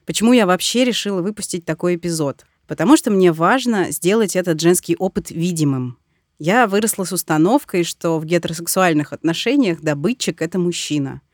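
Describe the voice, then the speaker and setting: A woman's voice: on the low side, lively intonation, fairly quick, no room sound. A woman in her thirties hosting a podcast, with scripted narration and interview questions, recorded in a studio. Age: 30s.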